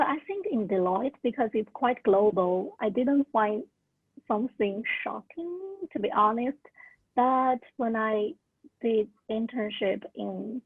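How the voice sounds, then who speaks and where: A woman's voice: a little high, breathy edge, no room sound. A young woman in her twenties, not an English speaker, earnestly sharing career tips on a video call, with many "like" and "uh" fillers. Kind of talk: casual talk at a virtual orientation. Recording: narrow tinny call audio.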